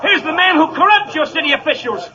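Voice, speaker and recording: An actor playing an accusing, rabble-rousing political crusader, orating to a mob in a 1940s radio comedy. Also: male voice, extremely high pitch, very animated, quick pace. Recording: muffled 1940s radio recording, crowd noise.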